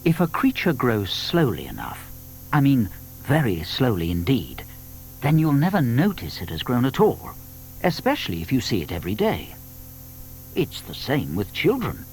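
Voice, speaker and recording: A British man narrating a children's audio drama as a wry, measured storyteller. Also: mid-range voice, huge pitch swings, a little hiss or hum, poor audio.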